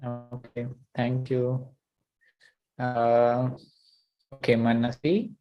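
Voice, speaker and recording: A man's voice: mid-range voice, completely flat delivery, drawn-out words, muffled recording, faint music behind. Give me a hesitant man leading an online class. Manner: hesitant, full of uh and you know. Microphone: video call.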